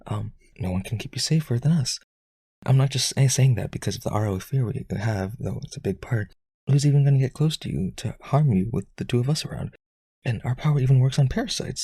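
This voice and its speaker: A man playing a lonely vampire aristocrat in a romantic audio roleplay, pleading earnestly and haltingly in a breathy, husky voice. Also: warm tone, no echo. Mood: earnest persuading. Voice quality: husky, breathy low voice, often near whisper.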